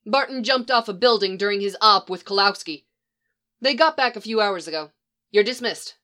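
A woman doing a brisk female commanding officer, dry and matter-of-fact. The voice is bright and quick, a storybook part. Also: medium pitch, expressive.

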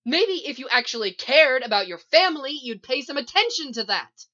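A woman acting a protective, indignant young woman in a story, forceful and scolding. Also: sits high, expressive, a little slow, highs cut off, pitched above the performer's own voice, full character voice. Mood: angry.

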